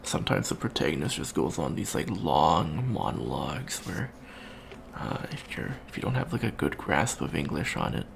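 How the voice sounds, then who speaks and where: A man playing an awkward, bookish young alien college student in an ASMR roleplay, talking softly and hesitantly with many ums and stammers. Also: extremely low pitch, big pitch swings, normal speed, gravelly, slight background noise, heavily acted. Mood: awkward, earnest. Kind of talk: soft-spoken ASMR roleplay.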